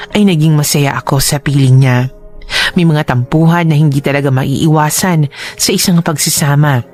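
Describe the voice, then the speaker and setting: A low-pitched woman actor's voice narrates as a devoted young mother. The delivery is measured, heartfelt first-person radio drama over soft music. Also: lightly acted. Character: devoted young mother looking back on her love life.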